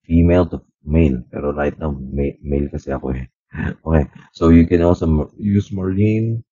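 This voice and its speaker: A man with a Filipino accent speaking through a deep voice changer, playfully trying its filters. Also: normal speed, dark tone, slightly rough, no room sound, lo-fi sound.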